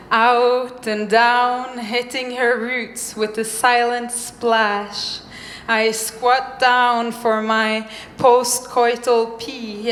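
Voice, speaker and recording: A young woman from Norway, performing spoken-word poetry on a mic at a live venue, with slow, deliberate pauses. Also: fairly high pitch, fairly bright tone, a little hiss or hum. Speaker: poet. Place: live event venue, indoors. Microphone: stage microphone. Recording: echoey room, faint ambience.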